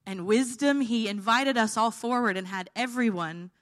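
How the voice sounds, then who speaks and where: A woman's voice: on the high side, moderate pace, fairly bright tone. A woman preaching an informal sermon, her voice tired and held back, often checking in with her listeners.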